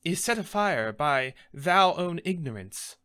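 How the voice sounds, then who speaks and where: Man acting an exasperated, animated Shakespearean friar. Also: on the high side, unhurried, clear, resonant tone, heavily acted. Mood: scolding. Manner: declamatory, rebuking, emphatic. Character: stern, exasperated friar scolding a despairing young man. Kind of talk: Shakespearean theatrical monologue.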